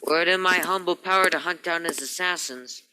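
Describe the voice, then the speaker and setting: A man's voice: on the high side, brisk, noisy background, close to an everyday voice. A man playing a smug, preening business partner in an old-time radio crime play, speaking in a pompous, flowery way. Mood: wounded dignity. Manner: pompous, flowery, mannered delivery.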